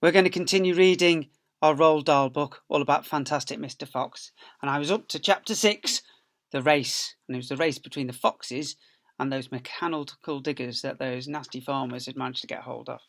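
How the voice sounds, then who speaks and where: A man's voice: medium pitch, normal speed, fairly bright tone. A man, an infant school teacher, reading a story expressively to young children.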